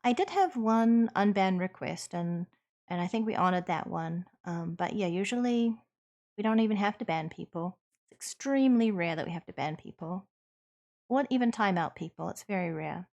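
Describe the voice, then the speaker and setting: A woman livestream host with a New Zealand accent, talking to her chat in an earnest, measured and thoughtful conversational way. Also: mid-range voice, expressive, a little mellow, dull audio. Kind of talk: conversational livestream Q&A, partly reading chat aloud.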